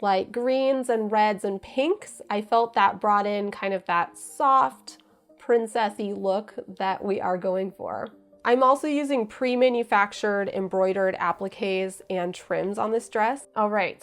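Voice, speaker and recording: A young woman with an American accent, giving a scripted, earnest explanation of a historical sewing project. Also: a little high. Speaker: costume maker.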